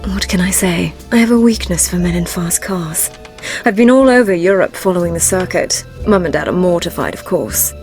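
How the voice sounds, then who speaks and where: An actress playing a flirtatious upper-class British socialite in a video game, arch, playful and teasing. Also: female voice, on the low side, animated, normal speed, a little mellow, faint music behind. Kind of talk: video game cutscene acting.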